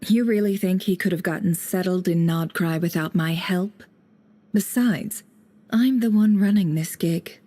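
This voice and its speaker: A woman's voice: on the low side, unhurried, mellow. An actress voicing a wry, composed adult female spymaster in a video game, speaking in a dry, confident, teasing way.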